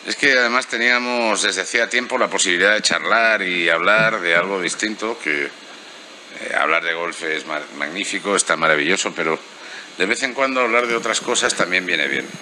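A man's voice: sits low, moderate pace, a little hiss or hum. A middle-aged man hosting a casual interview in a lounge bar, chatting easily with a handheld mic. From Spain. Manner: relaxed, chatty, with fillers. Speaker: host.

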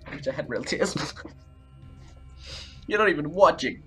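A man's voice: fairly high pitch, lively intonation, takes it slowly, over music. A young man giving dramatic, complaining, exclaiming commentary while playing a horror game. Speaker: video game player and host. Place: indoor room at a computer, on webcam.

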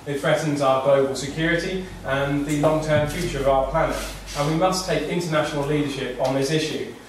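A man's voice: on the high side, steady tone, brisk, noisy background. A young man, a parliamentary candidate, giving a campaign speech at a public meeting, fluent but full of ums and uhs. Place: meeting room with audience. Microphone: far room camera. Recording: echoey room from far off.

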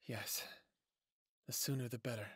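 A man's voice: medium pitch, steady tone, a little slow, fairly bright tone, slightly rough, no room sound, studio quality. A man playing an earnest lone male werewolf who longs for family, speaking sincerely in an audio drama. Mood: resolved.

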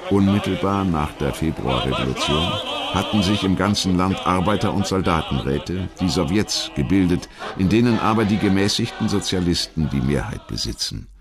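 A man reading scripted documentary narration in a measured, dramatic storytelling manner. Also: low-pitched, animated, over music.

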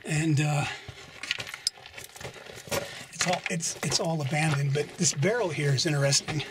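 A man's voice: a little high, measured pace, heavy background noise. A man casually narrating as he explores an abandoned mine and desert, thinking aloud with hesitant, musing remarks. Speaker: explorer vlogger.

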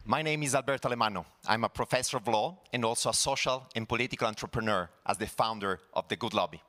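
Emphatic man with bright, forceful voice. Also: mid-range voice, fast. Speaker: law professor and activist speaking on stage. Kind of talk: persuasive public speech. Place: conference stage in an auditorium. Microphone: handheld microphone.

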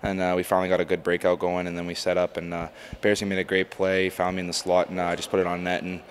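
A man's voice: sits low, monotone, fast talker. A young man, a hockey player recapping play casually into a handheld microphone. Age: young adult. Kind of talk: casual postgame interview answers. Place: indoors before a sponsor backdrop at an arena. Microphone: handheld microphone held by interviewer. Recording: faint ambient background noise.

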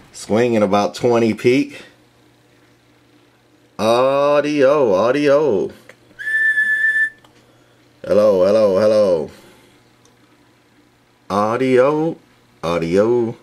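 A man casually demonstrating a vintage radio in his workshop, matter-of-fact and repeating test words into the mic. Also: fairly low pitch. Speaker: radio collector demonstrating equipment. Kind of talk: casual hands-on demo narration.

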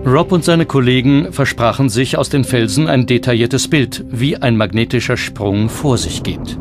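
Guy doing a scripted documentary voiceover, mid pitch, clear music behind him. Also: normal speed. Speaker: documentary narrator.

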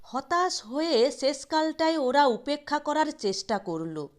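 Slow, high-pitched woman storyteller. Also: sing-song. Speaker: audio story reader. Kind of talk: scripted literary narration.

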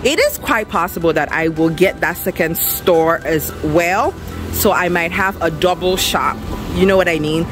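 A young woman chatting casually and excitedly to viewers as a vlogger and business owner, recorded on a camera at arm's length. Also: fairly low pitch, moderate pace, over music. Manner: excited, upbeat. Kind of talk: casual vlog chat to viewers. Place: outdoor storefront and bare empty shop room.

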